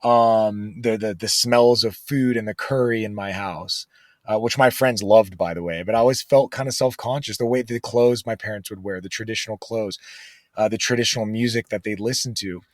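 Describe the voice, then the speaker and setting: A man's voice: on the low side, steady tone, moderate pace, no room sound, studio quality. A man being interviewed as a guest author, talking casually and earnestly with many fillers like "you know".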